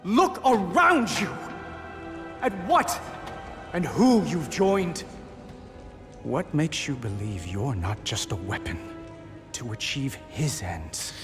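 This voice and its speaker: A captive young king in a fantasy game cinematic. He is defiant and accusing, with widely swinging intonation and slow, weighted phrasing over faint music. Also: a little high, very animated. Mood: defiant accusation. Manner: intense, accusing. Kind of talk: dramatic game cinematic acting.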